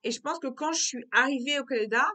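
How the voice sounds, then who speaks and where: A young woman talks through her thoughts in a relaxed vlog monologue. Her voice sits a little high and sounds bright and clear. She keeps restarting and repeating phrases as she thinks aloud. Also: fast talker. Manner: repetitive, circling back on her words. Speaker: vlogger. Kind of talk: casual reflective monologue.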